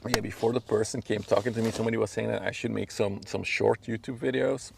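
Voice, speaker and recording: A Flemish man with a low voice full of heavy vocal fry, chatting to a livestream. His native language is Dutch, and he talks in an easygoing, rambling way. He is outdoors, with faint noise behind him. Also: steady tone, fast. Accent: Belgian Dutch (Flemish). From Belgium. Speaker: live streamer, drone pilot. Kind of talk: casual livestream chat and commentary. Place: outdoors on a riverbank.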